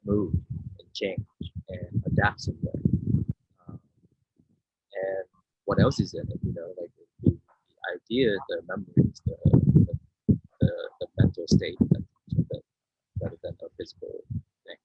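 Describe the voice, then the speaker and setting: Husky guy in his 30s from vietnam, hesitant, feeling for words, dull video call sound. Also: deep voice, lively intonation, normal speed, pauses often. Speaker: artist being interviewed. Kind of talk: informal talk about own artwork. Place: indoor room, on a video call. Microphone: video-call microphone. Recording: muffled, roomy video-call audio.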